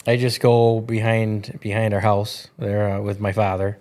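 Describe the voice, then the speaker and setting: A man of forty chatting casually as an interview guest, plain-spoken and a little halting. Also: lowish voice, level tone, a little mellow. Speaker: interview guest, local commercial leasing agent. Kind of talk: casual chat.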